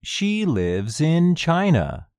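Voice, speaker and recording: A man reading section titles and repeating test sentences for a recorded English lesson, slow and deliberate. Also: fairly high pitch, wildly swooping pitch, a little mellow, no echo, clean studio sound. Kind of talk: scripted listening exercise.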